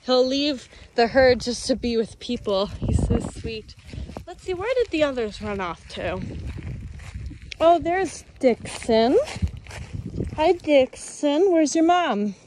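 A woman's voice: high-pitched, lively intonation, slow pace, a little mellow. A woman giving a casual outdoor tour on a handheld phone, talking affectionately as if to animals. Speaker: ranch owner giving a tour. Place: outdoors in an open dry pasture. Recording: noisy outdoor phone recording.

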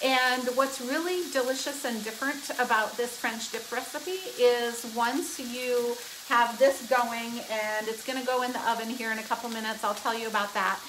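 A woman's voice: a little high, fairly even intonation, moderate pace, few pauses, noisy background. A woman in her fifties hosting a live cooking stream from her home kitchen, chatty and upbeat as she explains each step into a phone camera. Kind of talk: casual cooking demonstration. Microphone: phone camera, often held close, sometimes across the kitchen. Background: sizzling pan.

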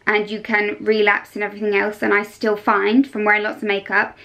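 A woman's voice: medium pitch, monotone, a little fast, creaky, pushed and bright. A young woman chatting enthusiastically and emphatically to camera in a casual skincare review style, as a beauty vlogger. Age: young adult. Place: small furnished bedroom, indoors.

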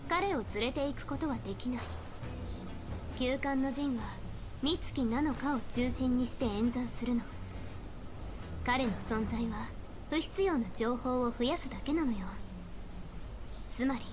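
A woman's voice: high voice, a little slow, pauses often, background noise. An actress playing a small, self-assured young female seer in an anime game, speaking in a composed, authoritative, formal way. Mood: composed, instructive. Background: game music.

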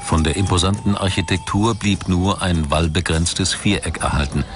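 A man reading scripted documentary narration in a measured, factual manner. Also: low-pitched, steady tone. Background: background music.

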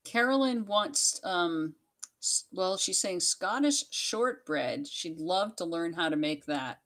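A woman's voice: fairly low pitch, lively intonation, slow pace, fairly bright tone. A woman co-hosting a casual chat, passing on viewers' questions and announcements. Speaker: co-host relaying viewer questions.

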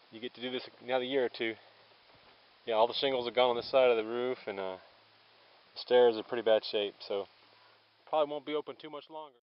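A man's voice: medium pitch, smooth. A man vlogging a long-distance hike, narrating casually and thinking aloud as he walks outdoors. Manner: rambling, easygoing, thinking aloud. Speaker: thru-hiker vlogger. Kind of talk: casual handheld trail narration. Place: outdoors on a forest trail. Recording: dull lo-fi camera audio, faint outdoor noise.

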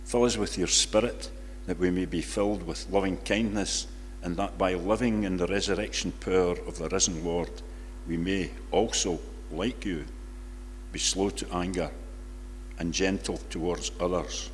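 An older man reads a public prayer in solemn, measured phrases in a church. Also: low-pitched, fairly even intonation. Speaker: congregation member leading prayers. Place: large church, at a lectern. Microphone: lectern microphone. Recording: reverberant church hall, faint background noise.

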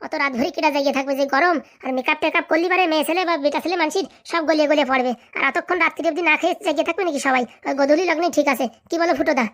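Cartoon comedy: actress as scheming, mouthy village lad, high voice, breakneck sarcastic delivery. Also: female voice, fairly bright tone. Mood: persuasive, bossy. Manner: sarcastic, blunt, quick-tongued. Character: cheeky, sarcastic young village man, scheming and bossy.

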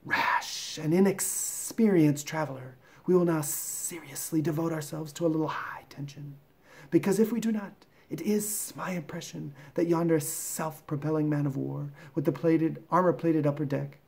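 Storybook python, male: pompous, slow and deliberate, hushed, slightly raised pitch, long-winded; performed by a man. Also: fairly even intonation, gravelly. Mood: grave, pompous.

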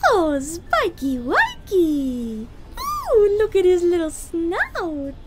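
A woman's voice: very high-pitched, wildly swooping pitch, extremely slow, heavy background noise, full character voice. A woman voicing a gushing, fashion-minded ladylike pony in a cartoon comic dub, cooing in an affected way. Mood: adoring.

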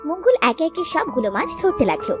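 A woman's voice: very high voice, big pitch swings, quick pace, over music. A woman narrator telling a scripted cartoon folk tale.

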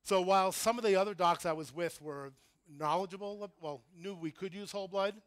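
Middle-aged American man lecturing, higher-pitched voice. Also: a little fast, no echo, clean studio sound. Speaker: conference speaker, critical care physician. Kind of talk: conference lecture. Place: conference room before an audience.

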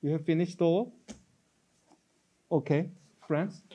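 A man's voice: fairly high pitch, warm tone. Formal Korean-accented male moderator. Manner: measured, formal diplomatic phrasing. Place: conference stage. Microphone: standing stage mic.

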